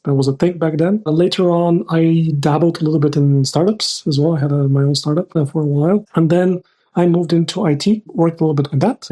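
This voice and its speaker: A middle-aged man speaking as an interview guest, explaining things in a measured, structured way with careful definitions. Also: a little high, mellow. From Bulgaria. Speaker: podcast guest, risk manager.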